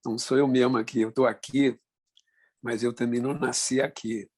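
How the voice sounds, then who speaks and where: A man, telling his life story as a guest in an informal, halting way. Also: medium pitch, level tone, breathy edge. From born in Portugal, moved to Brazil as a child. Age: 70s. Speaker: guest speaker.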